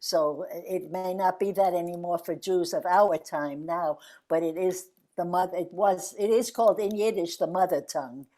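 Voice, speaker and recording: A woman's voice: on the low side, normal speed, hardly any pauses, mellow. An older woman in a reflective video-call conversation, speaking earnestly with frequent restarts. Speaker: interviewee.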